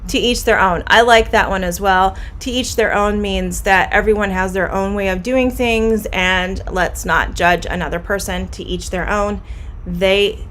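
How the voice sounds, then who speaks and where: A woman's voice: mid-range voice, steady tone, a bit bright, a little hiss or hum. Midwestern woman teaching English idioms. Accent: Midwestern American. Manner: friendly, explains slowly and repeats phrases. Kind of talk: live-streamed idiom lesson.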